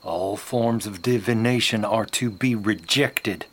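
An actor playing James, a gruff, hot-tempered Southern husband with a Southern accent, in a radio drama. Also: male voice, lowish voice, a little slow, a bit bright. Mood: scornful. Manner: gruff, scornful.

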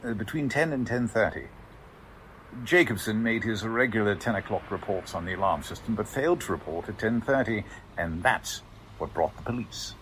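Actor as a fussy clerk with swooping intonation. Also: male voice, on the low side, expressive, normal speed, a bit bright, slight background noise. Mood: hesitant, polite. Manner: prim, hesitant, courteous. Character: fussy, formal, hesitant male chief clerk. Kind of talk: 1940s radio drama acting.

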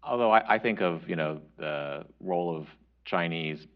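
Male panelist, extremely deep voice, hesitant, muffled lo-fi audio. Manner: halting, filler-heavy. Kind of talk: panel discussion. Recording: dull lo-fi audio.

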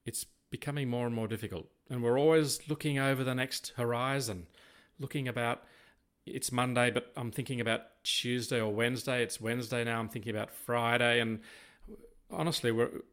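A middle-aged Australian man chatting reflectively as a podcast co-host, telling things in a rambling, unhurried way. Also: medium pitch, steady tone, a bit bright. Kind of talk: reflective conversational chat.

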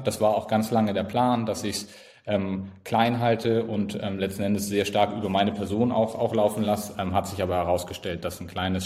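A man in his thirties with a northern German accent and a fairly low voice, speaking as a guest in a relaxed interview. He hesitates often, filling pauses and restarting his sentences as he thinks. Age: 30s. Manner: hesitant, many fillers and restarts. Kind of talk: casual interview talk.

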